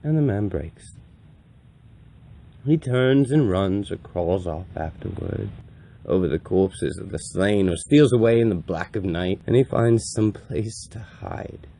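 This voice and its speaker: A man performing a grave, compassionate old wandering priest in a solemn, deliberate dramatic monologue with a gravelly voice. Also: low-pitched, expressive, mellow, heavily acted. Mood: mournful, intense. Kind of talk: dramatic audiobook-style monologue reading.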